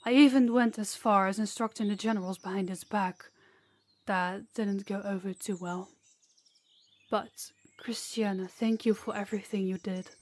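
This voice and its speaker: Female actor as remorseful, gracious queen for fantasy audio roleplay; formal, measured, sincere, mid-pitched. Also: fairly even intonation, a little mellow, close to an everyday voice. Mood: apologetic, earnest.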